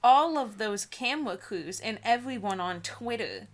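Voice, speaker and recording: A woman's voice: on the high side, expressive, a little slow. A woman co-hosting a comedy book reading, reading aloud mock-dramatically and breaking into casual, giggly asides.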